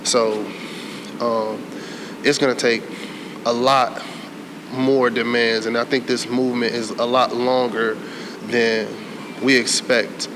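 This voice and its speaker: Young male panelist, monotone, deliberate, noisy background. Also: mid-range voice. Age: young adult. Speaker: protester. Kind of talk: panel discussion.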